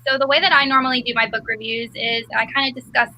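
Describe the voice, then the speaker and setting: High, bright-voiced woman hosting casually. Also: steady tone, fast talker, a little hiss or hum, low-quality recording. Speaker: host of book discussion live show. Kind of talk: casual moderated chat.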